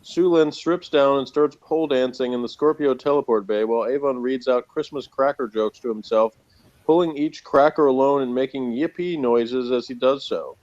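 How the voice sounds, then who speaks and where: A man's voice: mid-range voice, moderate pace, darkish tone. Sardonic man chatting, slightly dull audio. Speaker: podcast reviewer. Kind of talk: casual review chat.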